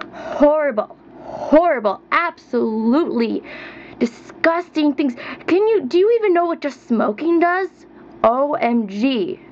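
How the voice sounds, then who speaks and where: A young woman in her twenties vents at the camera, her voice high and insistent as she repeats her points with rising pitch. The sound is dull and lo-fi, like a cheap webcam mic. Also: a little hiss or hum. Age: 20s. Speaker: vlogger. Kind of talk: heated rant to camera.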